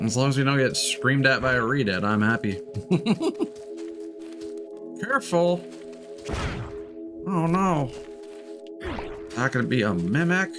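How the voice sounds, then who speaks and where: A man's voice: mid-range voice, lively intonation, slow pace, pauses often. A man streaming a video game with casual, spoken-as-he-plays commentary. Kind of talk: casual live gameplay commentary. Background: game music.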